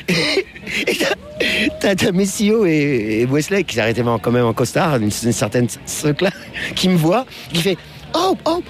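A middle-aged man, a musician guest on radio, telling anecdotes in a casual, slangy, colloquial way. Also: on the high side. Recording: faint music under the voice.

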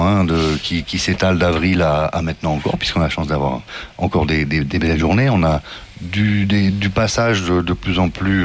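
A man, an interview guest, answering haltingly with many fillers and repeated words. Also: low voice, a little hiss or hum.

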